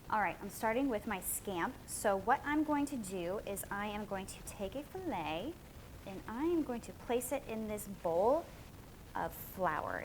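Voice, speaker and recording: A woman's voice: medium pitch, a little hiss or hum, low-quality recording. A woman talking casually to viewers as a vlog host, walking through food and fish with playful, informal exclamations. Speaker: fishing and cooking vlog host. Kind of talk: casual vlog, cooking walkthrough.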